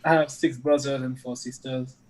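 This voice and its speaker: A man's voice: medium pitch, flat delivery, moderate pace, rough recording. A man from France with a French accent, a soccer coach giving short, polite answers in an interview.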